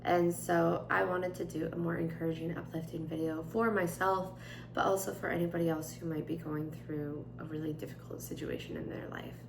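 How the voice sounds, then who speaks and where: Young woman vlogger, raspy and worn, gravelly low voice, chatty with lots of fillers, echoey home room. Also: flat delivery, a little fast, noisy background. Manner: chatty with frequent fillers, gentle and candid. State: tired, voice strained. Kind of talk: casual chat and journal reading. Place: indoors at home, at a table. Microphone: camera on tripod.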